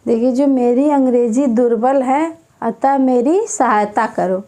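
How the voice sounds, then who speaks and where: A woman teacher giving an exam-prep grammar lesson, dictating slowly and repeating each term several times. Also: on the high side, dark tone.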